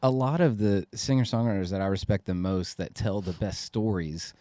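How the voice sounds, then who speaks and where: A man co-hosting a podcast, speaking in a relaxed, thoughtful way. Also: fairly low pitch, normal speed, no room sound, studio quality. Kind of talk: casual conversation.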